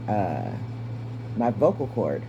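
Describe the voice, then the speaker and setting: Raspy, breathless woman in her fifties. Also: very deep voice, big pitch swings, takes it slowly, highs cut off, noisy background. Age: 50s. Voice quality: raspy, strained voice. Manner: candid, wry, stays positive. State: short of breath. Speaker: vlogger. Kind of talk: casual personal update to camera.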